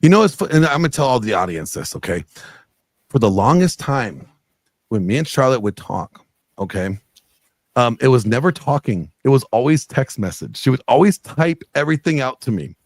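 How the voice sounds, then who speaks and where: A man's voice: mid-range voice, big pitch swings, normal speed, no room sound. A middle-aged man speaking earnestly as a guest on a video call interview, rambling with many fillers. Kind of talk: casual interview chat.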